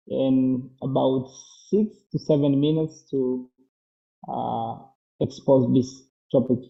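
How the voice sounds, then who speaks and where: A man's voice: medium pitch, gravelly. A man from Tanzania moderating an online panel in a polite, formal way. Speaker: moderator, mental health therapist. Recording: muffled lo-fi audio.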